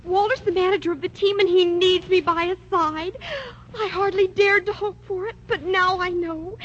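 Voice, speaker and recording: A woman's voice: very high voice, fairly even intonation, normal speed, fairly bright tone. An actress playing a gushing, fickle, melodramatic teenage girl in a 1940s radio sitcom. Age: teens. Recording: noisy lo-fi vintage radio broadcast.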